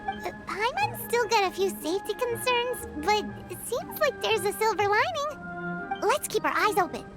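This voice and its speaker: Tiny fairy-like game sidekick: very high squeaky child voice, wildly animated, skeptical and excitable. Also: female voice, moderate pace, over loud music. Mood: wary, puzzled. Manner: exclaims, speaks of self in third person. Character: tiny childlike floating girl companion, excitable, skeptical, worried. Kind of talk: anime game voice acting.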